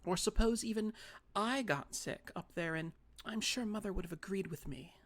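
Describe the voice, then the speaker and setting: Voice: medium pitch, moderate pace, a bit bright, pitched above the performer's own voice, lightly acted. An audiobook reader voicing a prim, disapproving, self-righteous sister with a lifted, precise voice. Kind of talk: audiobook character voice.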